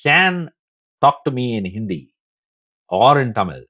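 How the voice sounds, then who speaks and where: Podcast guest: man, mid-pitched, thoughtful and explanatory, dry close sound. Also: big pitch swings, measured pace, a bit bright, no echo. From India. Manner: thoughtful, explains step by step, frequent "right?". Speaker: podcast guest, tech founder. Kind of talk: explanatory interview answer.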